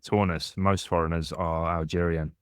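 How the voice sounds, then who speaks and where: Deep-voiced man hosting a podcast. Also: level tone, moderate pace, clean tone, dry recording, clean studio sound. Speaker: podcast host. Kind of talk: casual chat.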